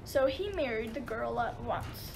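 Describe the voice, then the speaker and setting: A woman's voice: on the high side, lively intonation, measured pace, gravelly, low-quality recording. A woman playing the storyteller of a comic Greek myth, reading scripted narration in a radio play. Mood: storytelling, dramatic. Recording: noticeable background noise.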